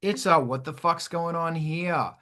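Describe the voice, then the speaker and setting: Bright-voiced, sweary man teaching music. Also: a little high, unhurried. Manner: sweary, irreverent, animated explaining. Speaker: host of a music production show. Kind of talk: casual music teaching with sung demonstrations.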